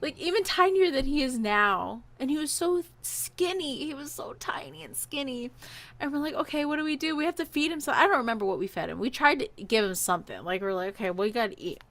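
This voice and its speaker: Chatty high-voiced woman telling a story. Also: fairly quick. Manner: animated, chatty, acts out dialogue. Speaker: podcast host telling a personal story. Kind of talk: casual conversational storytelling.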